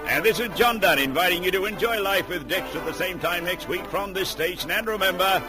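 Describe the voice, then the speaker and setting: A man's voice: sits high, brisk. An Australian man announcing on vintage radio, delivering a scripted sponsor message. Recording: old radio recording with music.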